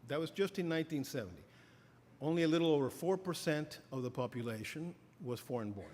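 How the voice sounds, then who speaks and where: A middle-aged man giving an academic lecture as a professor, halting with many fillers and restarts. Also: a little high, lively intonation, darkish tone. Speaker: professor giving a keynote address. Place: lecture hall. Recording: reverberant hall, faint ambience.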